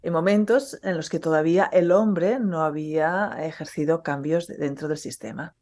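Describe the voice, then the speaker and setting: Slightly low-pitched woman explaining. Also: fairly even intonation, normal speed, darkish tone. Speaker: podcast guest, geology professor. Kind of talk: conversational explanation.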